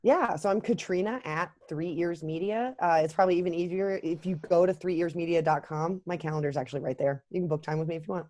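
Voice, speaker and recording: A woman's voice: lowish voice, fast talker, few pauses, a little mellow, no room sound, studio quality. A woman introducing herself on a panel over a video call, confident and wry. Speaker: panelist, business owner. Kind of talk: casual self-introduction.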